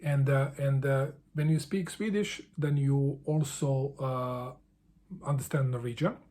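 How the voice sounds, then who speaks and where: Guy answering a book tag, kinda husky and mellow, lots of stops and restarts. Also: mid-range voice. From Bosnia. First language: Bosnian. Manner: halting, with frequent restarts and repeated words. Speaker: book vlogger.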